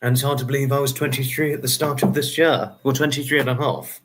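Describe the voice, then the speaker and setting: A man's voice: mid-range voice, little pitch movement, quick pace. A young man in his twenties hosting a casual livestream, chatting and answering viewer comments by name in a rambling, friendly way. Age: 20s. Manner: rambling, restarts number sums aloud. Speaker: livestream host.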